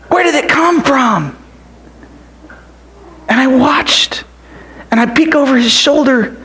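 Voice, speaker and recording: A man's voice: extremely high pitch, a bit bright, exaggerated voice. A man voicing an eager, food-loving eight-year-old boy who tells his story breathlessly and whispers when he counts. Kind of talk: character monologue storytelling.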